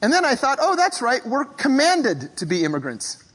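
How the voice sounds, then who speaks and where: A man from the Philippines preaching a sermon in a measured, reflective way, often clearing his throat. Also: very high-pitched, wildly swooping pitch, fairly bright tone, long reverb tail. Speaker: pastor.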